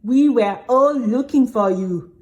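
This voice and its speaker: A woman's voice: a little high, slow pace, a little mellow, highs cut off. A woman playing a bossy, impatient female TV studio staffer in an audio drama, curt and commanding.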